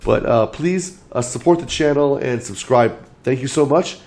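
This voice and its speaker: A man who is losing his voice after days of being sick talks through his market outlook, numbering his points. His voice sits at an ordinary pitch and sounds dark and mellow. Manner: measured, lays out points in order. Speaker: crypto channel host.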